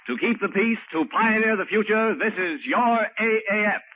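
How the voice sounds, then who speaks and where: A man's voice: high voice, normal speed. An American man announcing from a script in formal, ceremonious 1940s radio style. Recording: tinny 1940s radio broadcast, music behind. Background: music.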